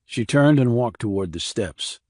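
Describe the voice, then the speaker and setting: A man narrating a crime thriller audiobook in a measured, serious, scripted reading. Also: medium pitch, lively intonation, darkish tone, dry recording, clean studio sound.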